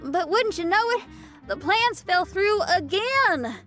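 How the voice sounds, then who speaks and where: A woman's voice: extremely high pitch, animated, takes it slowly, fairly bright tone, heavily acted. A woman playing a brash, friendly young woman in an anime game, talking in a breezy, folksy way over music. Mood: disappointed. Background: background music.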